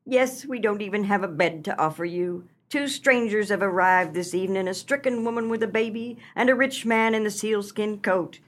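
A sorrowful old farm wife played by a woman in a comic radio play. Her voice has an ordinary female pitch and a plaintive, melodramatic delivery. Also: moderate pace, few pauses, lightly acted. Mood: anxious, lamenting.

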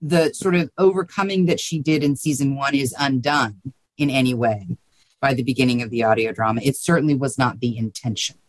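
A woman giving thoughtful interview answers as a guest, in a measured, careful manner. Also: a bass voice, dry recording, rough recording. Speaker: podcast guest, writer.

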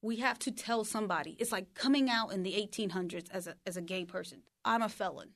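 A woman's voice: mid-range voice, fast, fairly bright tone. A woman who was formerly incarcerated, speaking in an earnest, reflective way while interviewing a fellow speaker. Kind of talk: conversational interview.

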